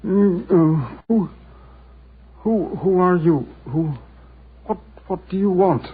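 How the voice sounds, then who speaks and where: A very husky, high-pitched male villain of an old radio play, drugged and guilty. He stammers groggily and pleads in fear. The sound is thin and phone-like. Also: animated, extremely slow, exaggerated voice. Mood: groggy, confused. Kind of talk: 1940s radio acting. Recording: muffled, narrow old radio recording.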